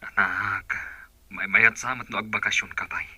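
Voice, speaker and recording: A man's voice: lowish voice, steady tone, moderate pace, bright, forceful tone, husky, no room sound, full character voice. A man playing an ailing, concerned middle-aged father in a radio drama. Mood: weary, concerned. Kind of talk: Ilocano radio drama acting.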